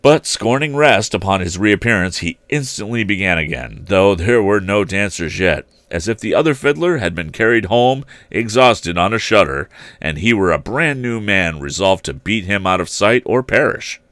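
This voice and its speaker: A male storyteller reads a tale aloud in a low voice. His delivery is expressive and theatrical, leaning deliberately on phrases, and the sound is dry with little room in it. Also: no echo. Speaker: storyteller, livestream host.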